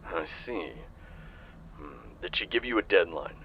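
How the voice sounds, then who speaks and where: A man playing a calm, businesslike police chief in an audio roleplay, heard through a phone filter. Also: low-pitched, a little slow, a little hiss or hum. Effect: phone call filter. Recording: tinny phone line.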